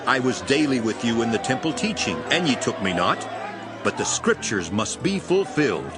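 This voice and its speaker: A man playing a solemn, authoritative, sorrowful teacher in a dramatized scripture reading, declaiming in a measured way. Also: medium pitch, big pitch swings, over loud music, natural voice. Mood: stern.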